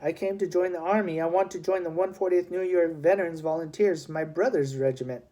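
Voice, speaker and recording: A man's voice: a little high, fairly quick, dark tone, lightly acted. Man as an earnest, plucky runaway boy. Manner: earnest, polite, eager. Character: plucky, anxious runaway city boy wanting to enlist.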